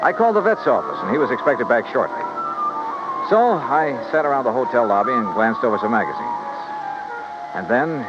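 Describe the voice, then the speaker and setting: A man playing a tough, brisk insurance investigator in a 1950s radio detective drama, clipped and probing. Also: mid-range voice, lightly acted. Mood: hardboiled, narrating. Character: tough, brisk male insurance investigator narrating his case. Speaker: detective lead and narrator. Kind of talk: 1950s radio detective acting, hardboiled narration. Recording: muffled old radio broadcast with music.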